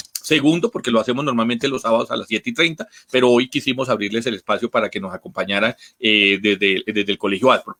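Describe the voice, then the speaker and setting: A man's voice: mid-range voice, pushed and bright. A man from Colombia's Caribbean coast with a Bogotá accent, hosting an academic online programme informally in a wordy, repetitive, courteous way. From Atlántico, Caribbean coast of Colombia. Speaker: host of an academic talk programme.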